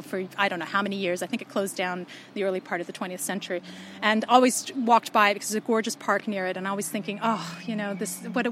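A woman with a Canadian accent chatting casually and warmly reminiscing, recorded outdoors by a canal. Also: medium pitch, slight background noise. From born in Yorkshire, raised in Canada. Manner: animated, reminiscing, laughs often. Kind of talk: casual chat.

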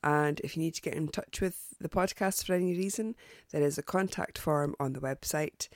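A woman hosting a podcast, chatty and hesitant with lots of ums and restarts, sounding croaky and congested from a cold. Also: sits low, moderate pace, dry recording, studio quality. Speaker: podcast host. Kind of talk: casual podcast sign-off.

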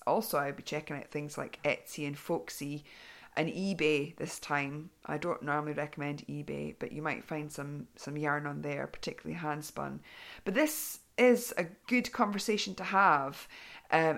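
A woman hosting a craft podcast in a chatty, rambling way, full of ums and restarts, her voice going hoarse. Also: low voice, a bit bright. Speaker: podcast host. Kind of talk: casual podcast chat.

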